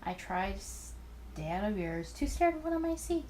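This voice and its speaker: A woman's voice: medium pitch, very animated, moderate pace, a little mellow. A woman reading a visual novel aloud with commentary, expressively and with stumbles and rereads, over some background noise. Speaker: let's player.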